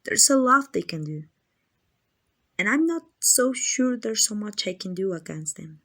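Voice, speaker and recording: Woman acting a slow-talking, teasing vampire villainess. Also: medium pitch, expressive, fairly bright tone. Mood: coy, measured. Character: arrogant, teasing, possessive female vampire, predatory and condescending. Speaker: amateur voice actor. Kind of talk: ASMR roleplay acting addressed to the listener.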